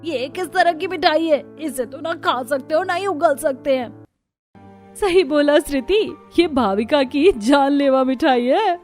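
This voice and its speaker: A woman's voice: high voice, a little slow, a little mellow, soft background music, voice pushed up. A woman voicing a playfully mocking young sister in a cartoon story. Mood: teasing. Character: teasing, cheeky young sisters. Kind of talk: Hindi cartoon story acting.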